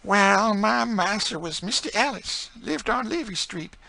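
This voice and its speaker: A man's voice: expressive, unhurried, vocal fry, bright, forceful tone, no room sound, exaggerated voice, low-quality recording. A man doing a grieving Southern woman. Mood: sorrowful. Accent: rural Southern US. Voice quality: high, plaintive, sorrowful. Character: frightened grieving enslaved woman, middle-aged mother.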